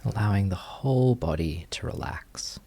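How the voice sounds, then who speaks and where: A man leading a guided meditation as a teacher, speaking in a gentle, unhurried manner with long pauses. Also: lowish voice, lively intonation, gravelly, slight background noise.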